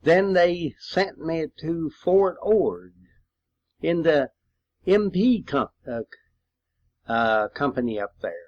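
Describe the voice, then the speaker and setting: An older man, a war veteran, rambling through reminiscences in a folksy, halting way on an old home cassette recording. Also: a little high, expressive, darkish tone, highs cut off. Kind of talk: rambling reminiscence, spoken storytelling.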